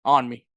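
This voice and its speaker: A man's voice: bright, forceful tone, dry recording. A man co-hosting a sports podcast in casual soccer chat, with an informal, jokey way of talking.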